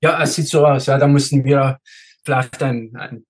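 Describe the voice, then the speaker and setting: A man's voice: medium pitch, steady tone, moderate pace. A man from Switzerland talking as a race director in a casual podcast interview, repeating words and dropping in the odd English one.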